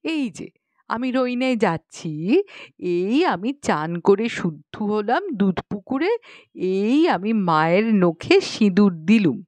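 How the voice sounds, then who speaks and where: A woman's voice: on the high side, darkish tone, no room sound. A woman voicing a pious, chatty young village matron in an audiobook, explaining things knowingly in colloquial rural Bengali speech with exclamations. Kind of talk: audiobook character dialogue.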